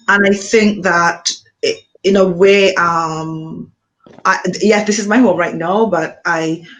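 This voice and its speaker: A woman from Montserrat with a Montserratian accent, an author being interviewed, speaking thoughtfully with frequent pauses and ums. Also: fairly low pitch, a bit bright, poor audio. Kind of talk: reflective conversation.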